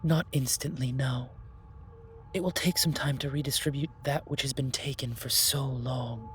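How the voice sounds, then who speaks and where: A man playing a calm, wise, otherworldly keeper of magic in a fantasy audio roleplay, speaking formally and ceremoniously. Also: mid-range voice, normal speed, slight background noise, close to an everyday voice. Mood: reassuring.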